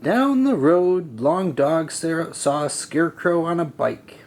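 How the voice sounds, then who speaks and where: Slow, lively male children's storybook reader. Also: a little high. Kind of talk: children's read-aloud narration.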